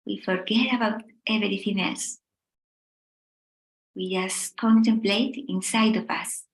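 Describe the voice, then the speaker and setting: A middle-aged woman from Spain with a Spanish accent, gently leading a meditation talk in short, unhurried phrases with pauses. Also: mid-range voice, fairly even intonation, reverberant, low-quality recording. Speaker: meditation teacher.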